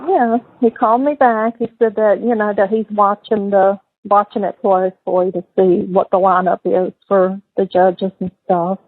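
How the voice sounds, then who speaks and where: A woman's voice: medium pitch, steady tone, moderate pace, few pauses, no room sound. A middle-aged woman talking casually on a recorded phone call. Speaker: mother on a call to her son in jail. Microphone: telephone.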